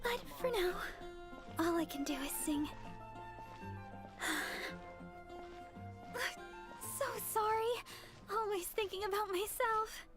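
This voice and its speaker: A woman playing a sweet, humble young deaconess and songstress in a fantasy game, speaking gently, earnestly and apologetically. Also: very high voice, lively intonation, measured pace, long pauses, music in the background. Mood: earnest, bashful. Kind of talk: animated game voice acting.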